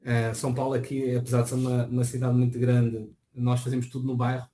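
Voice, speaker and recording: A middle-aged man from Portugal with a European Portuguese accent, answering interview questions in a relaxed way, often hesitating and restarting his sentences. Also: medium pitch, fast, a little hiss or hum. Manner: hesitant, many restarts and fillers.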